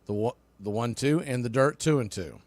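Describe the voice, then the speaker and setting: A man's voice: medium pitch, moderate pace, darkish tone, no echo. A man calling baseball play-by-play live in radio style, with clipped calls that swell on the action.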